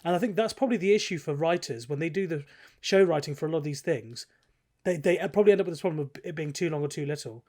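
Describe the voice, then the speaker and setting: A man's voice: fairly high pitch, quick pace, a little mellow. A man co-hosting a casual gaming podcast, explaining and qualifying his points in an analytical way. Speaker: podcast host.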